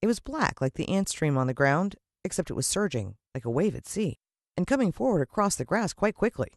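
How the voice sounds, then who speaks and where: American female storyteller for children's audiobook: highly varied pitch, dramatic emphasis, close dry sound. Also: very animated, fairly quick, dry recording. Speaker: audiobook narrator. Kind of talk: expressive children's story narration.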